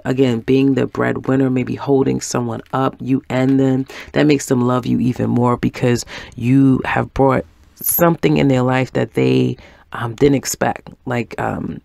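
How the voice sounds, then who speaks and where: Gravelly man with a head cold. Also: mid-range voice, darkish tone, no echo, clean studio sound. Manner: unhurried, reassuring, many ums. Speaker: tarot reader. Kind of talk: weekly tarot reading monologue.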